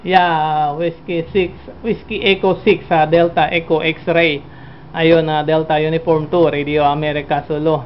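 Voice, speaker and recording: High-pitched Filipino man, muffled radio chat. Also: little pitch movement, measured pace. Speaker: amateur radio operator. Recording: dull audio with faint noise.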